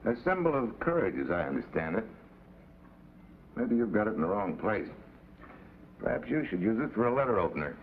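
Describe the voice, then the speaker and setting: An actor playing a blunt, hard-nosed American special agent in a vintage television drama, clipped and sardonic. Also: male voice, lowish voice, sing-song, brisk, long pauses. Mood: challenging, sardonic. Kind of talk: 1950s television drama acting. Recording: tinny, hissy vintage TV soundtrack.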